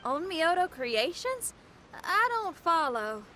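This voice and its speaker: A woman's voice: extremely high pitch, big pitch swings, slow pace, pushed and bright, a little hiss or hum, heavily acted. A woman voicing a spirited, sensible young female musician and adventurer in a video game, casual and frank. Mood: puzzled. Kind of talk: video game character acting.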